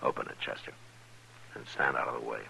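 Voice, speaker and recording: An American actor playing a stern, steady frontier lawman in a 1950s radio western, speaking tersely with measured authority. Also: rumbling low, gravelly, full character voice. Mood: grave. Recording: old radio recording, faint noise.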